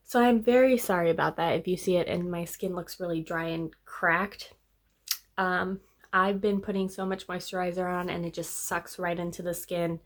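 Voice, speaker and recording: A young woman, slightly hoarse and congested, chatting casually through a makeup tutorial. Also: on the low side. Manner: chatty, upbeat, trailing off with fillers. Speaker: beauty vlogger.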